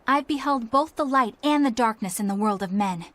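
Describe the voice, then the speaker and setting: A woman's voice: fairly high pitch, expressive, normal speed, fairly bright tone. A woman voicing an earnest, righteous young female exorcist in a video game, formal and sincere. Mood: solemn, sincere.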